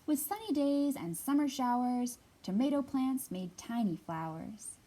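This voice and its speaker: A woman librarian giving a storytime reading for young children, sing-song and expressive, pausing to ask the little listeners questions. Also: fairly high pitch, poor audio.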